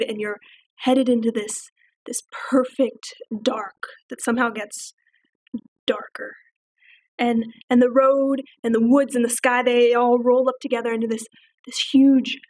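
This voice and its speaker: A woman playing a cold, detached killer who speaks in a quiet, halting, hushed way. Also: on the high side, far from the mic, rough recording. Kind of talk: audition monologue.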